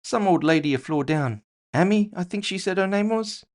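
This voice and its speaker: A big, chrome-tusked orc fighter voiced by a man for a cyberpunk audio drama. He is amiable and unruffled, a softie at heart, and his voice sits noticeably higher than the narrator's own. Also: expressive, moderate pace. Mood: casual, amused. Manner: amiable, unperturbed. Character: hulking male cyborg orc boxer, friendly teddy bear.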